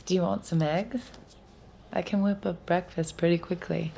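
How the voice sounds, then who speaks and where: A woman's voice: fairly low pitch, fairly even intonation, moderate pace, gravelly, dark tone, a little hiss or hum. An actress playing a caring, anxious young girlfriend in a naturalistic, intimate audio drama, tender and tentative. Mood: subdued.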